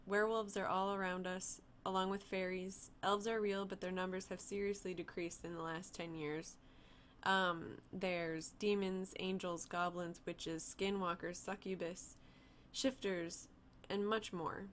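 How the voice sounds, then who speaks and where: A woman voicing a young female vampire who speaks in a calm, matter-of-fact way with a teasing edge. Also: lowish voice, unhurried, slight background noise, close to an everyday voice. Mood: explaining.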